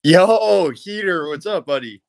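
A man in his twenties calls in to a live show, blunt and swearing through every sentence. His voice sits a little high, and the sound is dry. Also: a little slow, vocal fry, no room sound. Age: 20s. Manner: profane, blunt, excitable. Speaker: caller. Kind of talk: casual chat.

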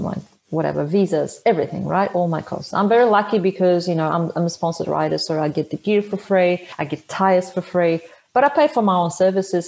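A woman with a Polish accent talks in a fairly low voice, chatty and quick to laugh. She is a native Polish speaker in her thirties, answering an interviewer's questions in a relaxed, casual way with plenty of restarts. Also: gravelly, rough recording. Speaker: interview guest, motorcycle traveler.

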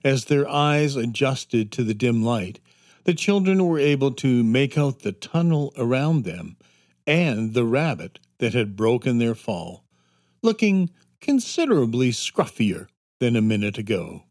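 Slow, measured male storybook narrator. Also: mid-range voice, expressive, darkish tone. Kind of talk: scripted children's story narration.

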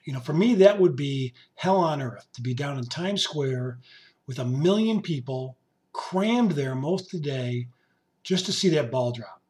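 A man hosting his podcast in casual monologue, his voice hoarse and raspy, rambling and joking as he talks to his musician. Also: medium pitch, expressive, unhurried, darkish tone. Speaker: podcast host. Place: basement home studio.

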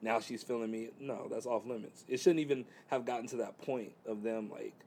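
A man's voice: mid-range voice, fairly even intonation, brisk, a little mellow, slight background noise. A man in his thirties chatting casually about dating, laid-back and rambling with lots of fillers. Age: 30s. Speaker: guest.

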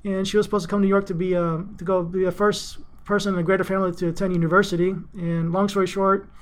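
A middle-aged man with a notably high voice talks through his story in a loose, rambling way, filling pauses with ums. He sounds relaxed and conversational, like a guest on a business interview show. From Greece, raised in Houston, Texas. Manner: chatty, rambling, frequent ums. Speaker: interview guest, finance executive.

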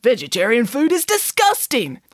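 A woman reading a storybook voices a hungry, vain male wolf with slow, drawn-out, wheedling lines. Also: fairly high pitch, huge pitch swings, no echo, studio quality.

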